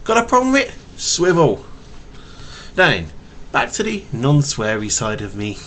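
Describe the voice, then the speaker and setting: Animated dad reading aloud, noisy webcam recording. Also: male voice, mid-range voice, a little slow. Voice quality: stutter. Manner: animated, playful, addressing a small child. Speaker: father reading a picture book to his young daughter. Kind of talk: storybook reading with casual asides to camera. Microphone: webcam mic.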